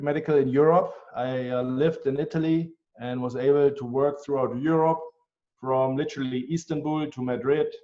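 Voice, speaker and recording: A man with a German accent, answering interview questions in an earnest, measured way with frequent ums. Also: mid-range voice, darkish tone. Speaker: guest, healthcare tech executive. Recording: dull, lo-fi sound.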